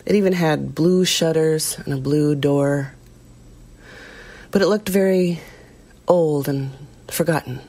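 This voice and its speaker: Actress as haunted woman, creaky voice. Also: deep voice, takes it slowly, long pauses, warm tone, heavily acted. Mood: subdued, haunted. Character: troubled compassionate woman recounting a frightening ordeal. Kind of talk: audio drama monologue.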